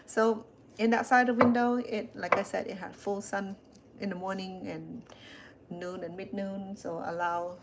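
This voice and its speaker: A woman in her fifties with a Cambodian accent talks casually in her home, her voice sitting on the low side. She rambles warmly, often repeating a word before moving on. A faint hiss lies under the recording. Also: vocal fry. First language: Khmer. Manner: chatty, repeats words as she goes. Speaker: chef and home cook. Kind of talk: casual home vlog, showing plants. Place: indoors at home.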